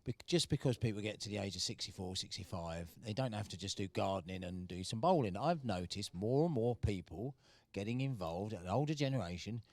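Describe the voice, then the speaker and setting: A man hosting a casual radio interview, brisk and encouraging. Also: lowish voice, lively intonation, no room sound. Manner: brisk and encouraging, short replies. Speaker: radio show host.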